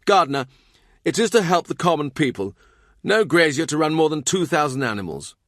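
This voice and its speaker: An imperious middle-aged king from a historical novel, acted out by a male audiobook reader. His delivery is emphatic and commanding, lifted higher in pitch, in a very dry recording. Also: sing-song, fairly quick, a bit bright, dry recording.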